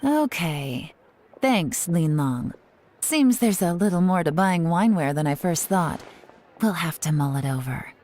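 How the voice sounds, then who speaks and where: Low-voiced, composed woman investigator. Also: animated, measured pace, darkish tone. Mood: casual, coaxing. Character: composed, shrewd female investigator in charge. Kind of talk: video game character acting.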